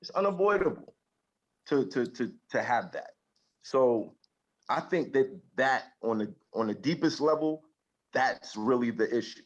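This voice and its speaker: A man's voice: medium pitch, expressive, measured pace, dull audio. A man speaking as a guest in casual talk, earnest and reflective, often repeating words as he searches for them. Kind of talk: casual chat.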